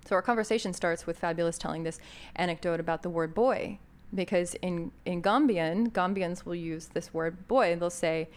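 A woman's voice: on the low side, moderate pace, hardly any pauses, clean tone. An American woman hosting a podcast, introducing an episode in a thoughtful, conversational way with frequent fillers. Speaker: podcast host.

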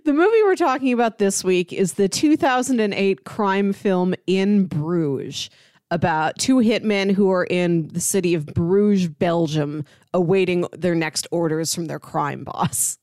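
A woman's voice: lowish voice, measured pace, darkish tone, dry recording, clean studio sound. A woman co-hosting a podcast, chatting casually about film in a conversational, storytelling way. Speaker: podcast co-host.

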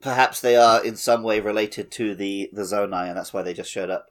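A man's voice: lowish voice, steady tone, a little fast. A man co-hosting a casual gaming podcast, talking in a playful way. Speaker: podcast co-host.

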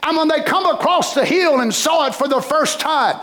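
An older man preaching a fervent sermon, breaking into shouted bursts and cries of hallelujah. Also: very high-pitched, normal speed.

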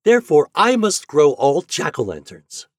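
A man's voice: fairly high pitch, wildly swooping pitch, moderate pace, no echo, studio quality, voice pushed up. A man voicing plain-spoken, carefree pumpkin plants in a storybook, with a breezy and emphatic manner. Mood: matter-of-fact.

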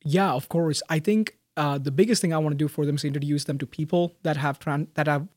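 A young man, a graduating student, answering interview questions in a thoughtful, soft-spoken way with frequent fillers and restarts. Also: fairly high pitch, a little fast, dry recording, clean studio sound. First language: Malayalam. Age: young adult.

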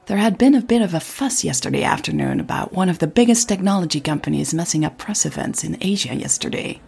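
A woman reading scripted audio drama narration in a husky voice. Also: fairly low pitch, animated, fast, darkish tone.

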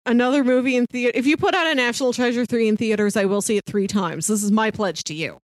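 Higher-pitched woman chatting casually, close and dry. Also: fast, no echo. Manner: enthusiastic, playful fan talk. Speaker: podcast co-host. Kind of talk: casual film discussion chat.

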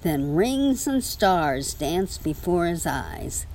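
A woman's voice: on the low side, very animated, slow pace, darkish tone, a little hiss or hum, rough recording. A woman reading a children's story aloud to a child, with casual asides.

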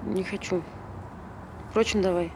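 A woman's voice: lowish voice, fairly even intonation, measured pace, background noise, natural voice. An actress playing a grieving, distracted woman who insists all is fine, in short repetitive phrases, in naturalistic stage drama. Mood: restless. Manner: clipped short phrases, repeats herself.

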